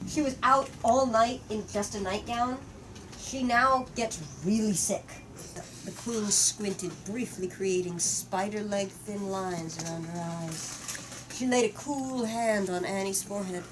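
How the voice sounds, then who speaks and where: A woman reading a story aloud to young listeners in an expressive, measured way, then leading a discussion about it. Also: medium pitch, distant mic. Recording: noisy room recording.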